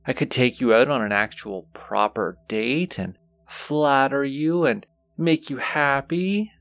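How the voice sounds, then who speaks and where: A flirtatious male demon , acted by a man, drawls very slowly in a medium-pitched voice. He is teasing, seductive and gleefully wicked, speaking straight to the listener in an intimate audio roleplay. The sound is close and dry. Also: creaky, a bit bright, dry recording. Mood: flirtatious, playful. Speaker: voice actor.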